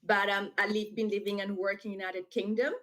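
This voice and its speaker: A woman from DR Congo whose first language is French, talking about her writing as a podcast guest. She speaks in an animated, talkative way over a video call. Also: medium pitch, brisk. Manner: animated and talkative, with frequent fillers and laughs. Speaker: author and guest. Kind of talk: casual interview.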